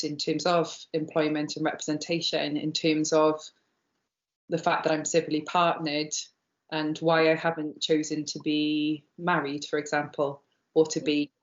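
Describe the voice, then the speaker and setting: Low-voiced woman in an echoey room. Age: 30s. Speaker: interviewee. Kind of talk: reflective personal interview. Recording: muffled lo-fi, roomy echo.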